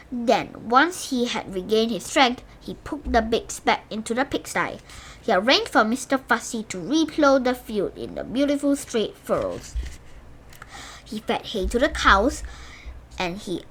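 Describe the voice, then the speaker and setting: A woman's voice: a little high, bright, forceful tone, slight background noise, poor audio. A woman reading a children's storybook aloud with playful, emphatic expression. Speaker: storybook reader.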